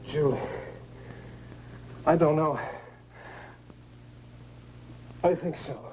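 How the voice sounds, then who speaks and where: An actor playing a dazed astronaut shaken by a crash landing, in 1950s science fiction film style. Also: male voice, mid-range voice, a little mellow, natural voice. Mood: confused, anxious. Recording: noisy old film soundtrack with hum.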